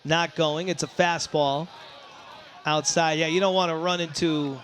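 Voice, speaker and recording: High, bright-voiced man calling baseball live. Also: fairly even intonation, a little slow, a little hiss or hum. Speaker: play-by-play baseball announcer. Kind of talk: live sports play-by-play commentary.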